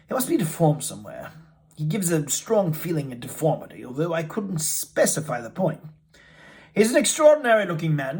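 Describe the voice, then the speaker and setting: A man voicing a talkative, candid gentleman who tells an odd tale with emphatic, exclamatory delivery in a storybook reading. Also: sits high.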